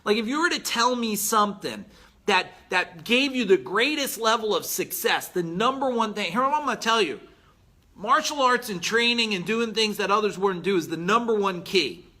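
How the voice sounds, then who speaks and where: A man in his forties giving a blunt, emphatic motivational talk as a business coach on a live stream, clearing his throat now and then. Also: high voice, animated, normal speed, bright, forceful tone. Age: 40s.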